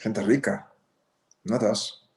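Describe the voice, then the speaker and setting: A man's voice: on the low side, slow, a little mellow. A man playing a proud, evasive old rancher father in a screenplay table read, hesitant and slipping between Spanish and English. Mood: sheepish, puzzled. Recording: roomy, echoey home recording.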